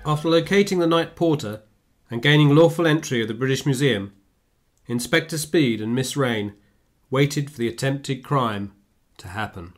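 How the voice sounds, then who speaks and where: A man's voice: medium pitch, moderate pace, lightly acted. A man acting as the formal narrator of a steampunk radio play, reading scripted storytelling. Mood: measured.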